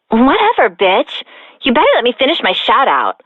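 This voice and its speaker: A woman's voice: a little high, wildly swooping pitch, normal speed, husky, no echo, exaggerated voice. An actress playing a rude, self-absorbed young woman calling a radio show in a video game, with a dismissive delivery. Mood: bratty. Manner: bratty, dismissive. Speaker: radio call-in listener. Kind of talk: scripted game radio call-in. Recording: tinny phone line.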